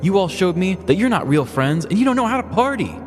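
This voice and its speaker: A man voicing a brash, defiant young party girl, loudly self-righteous, in a comedic storytelling podcast. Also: high voice, big pitch swings, fast, long reverb tail, voice pushed up. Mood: indignant, defiant.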